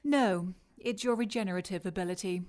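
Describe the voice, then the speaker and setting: An actress playing a scornful, scheming immortal villainess who talks with mocking condescension in an audio drama. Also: female voice, mid-range voice, fairly quick, a little mellow, lightly acted.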